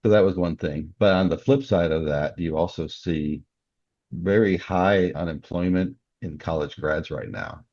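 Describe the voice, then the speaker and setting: A man with a very deep, husky voice talks casually as an interview guest. He explains things in a reflective way. The recording is a little dull and lo-fi. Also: measured pace, a little mellow, dry recording. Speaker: guest, author and cybersecurity professional. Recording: slightly dull, lo-fi sound.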